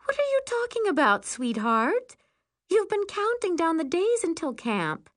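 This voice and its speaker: A woman's voice: extremely high pitch, big pitch swings, unhurried, dry recording, clean studio sound, voice pushed up, heavily acted. A woman voicing an eager mother coaxing a small child in an audiobook. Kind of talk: audiobook character voice.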